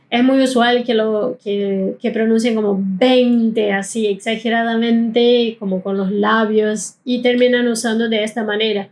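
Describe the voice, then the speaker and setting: Woman teaching German pronunciation. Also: fairly high pitch. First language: not Spanish. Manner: explanatory, slows down to model sounds. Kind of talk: pronunciation lesson.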